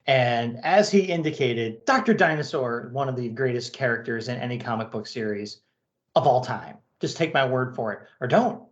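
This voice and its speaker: A man's voice: mid-range voice, expressive, moderate pace. A man hosting a podcast in casual, chatty style. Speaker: podcast host. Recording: slightly dull, lo-fi sound.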